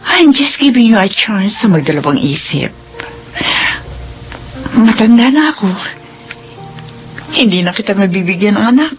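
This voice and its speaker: A woman's voice: mid-range voice, very animated, a little slow, long pauses, lo-fi sound, soft background music. An earnest, emotional older woman in love. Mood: worried, hesitant. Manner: earnest, emotional, Tagalog mixed with English. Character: older woman, tender, doubtful lover of a younger man. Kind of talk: sentimental radio drama acting.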